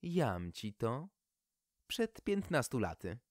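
A man voicing a brisk, motherly, unflustered hostess with a melodious tone in a storybook tale. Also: medium pitch, very animated, no room sound, studio quality. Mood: sweet, calm.

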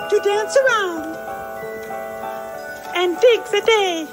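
A man voicing a dainty, daffy young damsel in falsetto for a children's puppet storybook skit. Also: takes it slowly, over loud music. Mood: sweet, airy.

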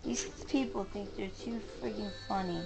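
A woman's voice: mid-range voice, normal speed, gravelly, music in the background. A middle-aged woman, talking casually and bluntly in a rambling, aggrieved way to camera at home. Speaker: home vlogger. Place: rooms of a home, indoors.

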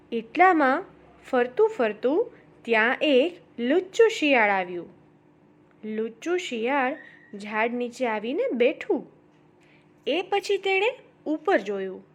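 A woman narrating a story for children as a storyteller, addressing young listeners directly. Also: on the high side.

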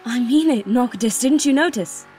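A woman's voice: fairly high pitch, expressive, moderate pace, a little mellow, soft background music, close to an everyday voice. A woman playing an earnest, insistent young princess in a fan audio drama. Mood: worried.

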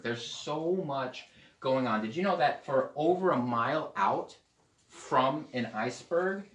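A young man preaching a sermon at a whiteboard, lively and asking his audience questions. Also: mid-range voice, recorded from a distance. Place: indoor room with whiteboard and podium. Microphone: far camera. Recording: roomy echo, voice away from the mic.

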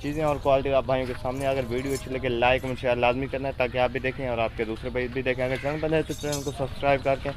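A man's voice: medium pitch, little pitch movement, quick pace, few pauses. A young man, a goat farm owner selling his herd in a casual vlog, talking off camera, repetitive and salesy, recorded on a phone in an outdoor pen. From Pakistan. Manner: repetitive, salesy, frequent tag questions.

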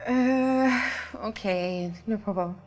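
A woman's voice: fairly high pitch, very slow. A woman playing a bored, nervous young girlfriend on the phone. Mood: hesitant. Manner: hesitant.

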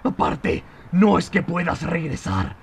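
Man playing an exasperated, very creaky-voiced hero. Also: fairly high pitch, very animated, measured pace, a little hiss or hum, full character voice.

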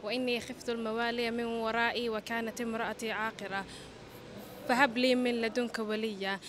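Young woman, fast and fairly flat. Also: on the high side, background noise. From Gothenburg, Sweden. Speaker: interviewee reciting Quran. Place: indoor shopping mall. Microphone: interviewer's small handheld mic.

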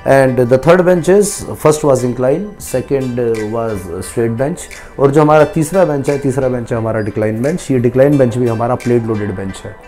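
A man's voice: mid-range voice, a little slow, few pauses, warm tone, soft background music. Indian man walking through gym machines. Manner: mixes Hindi and English, repeats phrases for emphasis. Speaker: gym equipment seller and fitness trainer. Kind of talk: product walkthrough demo. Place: large gym warehouse, indoors.

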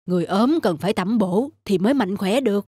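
A woman voicing a kindly, generous middle-aged man who runs an eatery in a cartoon, gentle and fatherly. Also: medium pitch, lively intonation, fairly quick, slightly rough, dry recording, clean studio sound. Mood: kind, reassuring. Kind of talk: cartoon voice acting.

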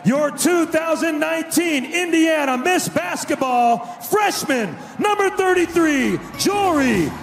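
Male arena hype announcer, very high-pitched and booming, dramatic player introductions over music in an echoing hall. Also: lively intonation, slow pace, vocal fry, few pauses, a faint crowd. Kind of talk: hyped sports arena player introductions, drawn-out names. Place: large indoor basketball arena. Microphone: arena public-address microphone. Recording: echoey arena PA, music underneath.

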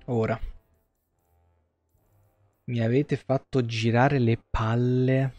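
A man's voice: mid-range voice, level tone, slow pace, a little mellow, no room sound, highs cut off. A young man giving casual live game commentary, muttering and swearing in exasperation to his viewers. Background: video game music.

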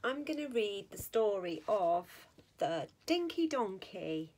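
A middle-aged woman reading a rhyming children's picture book aloud with an expressive, sing-song delivery. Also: mid-range voice, takes it slowly. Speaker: school staff storyteller. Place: small school office or staff room, indoors. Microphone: phone or tablet at arm's length.

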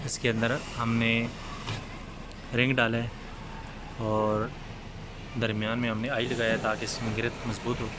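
A man walks through an outdoor construction site, explaining the work slowly and plainly while filming on his phone. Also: medium pitch, level tone. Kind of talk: site walkthrough narration. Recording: outdoor site noise behind.

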